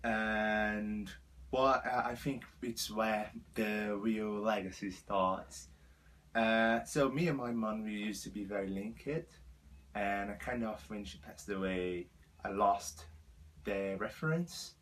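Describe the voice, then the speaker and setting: Young Brazilian man, low voice, halting English. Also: fairly even intonation, a bit bright, rough recording. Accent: Brazilian Portuguese. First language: Portuguese. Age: 20s. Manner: earnest, halting second-language English with many fillers. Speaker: vlogger. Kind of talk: personal storytelling to camera.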